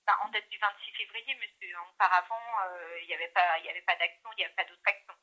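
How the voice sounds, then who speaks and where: A woman's voice: fairly low pitch, fairly even intonation, quick pace, bright, forceful tone. A woman working as a call centre agent, explaining things hesitantly in a customer service call over the telephone. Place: phone call, recorded from the line. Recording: telephone line.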